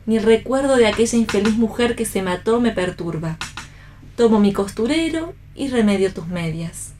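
An actress as a genteel, idealistic wife. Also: female voice, medium pitch, lively intonation, normal speed, dark tone, slight background noise, close to an everyday voice. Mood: earnest idealism. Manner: poetic, formal, correcting. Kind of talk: staged radio play reading.

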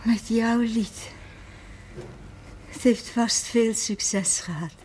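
An actress playing a gravely ill, weary and loving mother in a 1970s Dutch television drama, speaking tenderly and with resignation. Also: fairly high pitch, extremely slow, darkish tone. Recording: faint noise on old TV audio.

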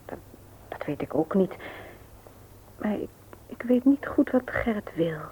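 An actress playing an earnest, gently persuasive married woman in a radio play, often hesitant and soft. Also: medium pitch, expressive, unhurried, whispered, natural voice, rough recording. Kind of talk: Dutch radio play acting.

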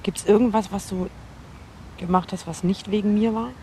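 A woman's voice: medium pitch, steady tone, normal speed, mellow, a little hiss or hum, close to an everyday voice. An actress in her twenties playing a blunt, incredulous young woman facing her ex in a naturalistic radio drama. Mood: incredulous, uneasy.

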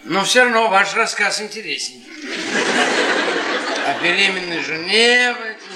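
Sardonic middle-aged professor, high-pitched, teasing hesitant delivery, radio theatre, roomy echo. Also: male voice, lively intonation. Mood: playful, ironic. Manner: mocking, teasing, drawn-out hesitant phrasing. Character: sardonic, beaten-down middle-aged male history professor, needling. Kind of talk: radio theatre stage acting. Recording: echoey room, audience noise behind.

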